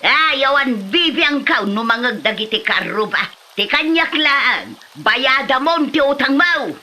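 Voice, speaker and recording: Picture an actress as an older Filipino woman lending money in a comedy drama. Her voice is high and sharp-edged. She complains and scolds, pushing hard for what she is owed. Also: animated, measured pace, exaggerated voice. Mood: angry demanding. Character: irate older woman, a pushy neighborhood moneylender demanding repayment. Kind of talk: Ilocano comedy drama acting.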